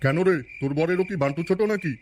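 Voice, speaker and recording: Brief: male actor as pompous crude cartoon schemer, quick-talking, high pitch, faint noise behind. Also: lightly acted. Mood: pompous, scheming. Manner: chanting, bossy, crude. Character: pompous lecherous fake holy man, later grumbling foul-mouthed father. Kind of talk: comic cartoon dubbing.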